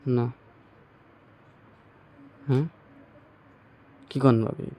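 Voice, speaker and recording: Young guy acting a naggy village brother-in-law, rural bangladeshi bengali accent, soft dark voice, kinda flat, amateur skit. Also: medium pitch, drawn-out words, low-quality recording. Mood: puzzled. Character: pleading younger brother-in-law pestering his sister-in-law. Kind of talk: amateur skit dialogue, colloquial.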